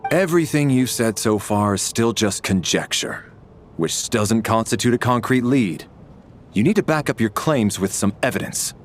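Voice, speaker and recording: Man voicing a formal, low-voiced military officer. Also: expressive, a little hiss or hum, lightly acted. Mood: stern. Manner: formal, measured. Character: stern, measured, dutiful military officer. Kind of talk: video game voice acting. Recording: background music.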